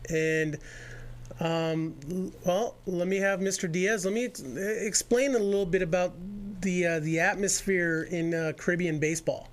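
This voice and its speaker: A man's voice: high-pitched, steady tone, a little slow. A man hosting a radio baseball show, interviewing a guest in a chatty, rambling way with lots of fillers.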